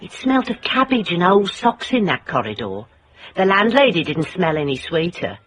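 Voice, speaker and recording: An actress playing a tough, determined private investigator in a radio drama, dry and wry. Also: female voice, fairly low pitch, very animated, moderate pace, a bit bright, slightly rough, exaggerated voice. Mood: wry, observant. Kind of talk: radio drama acting with first-person narration.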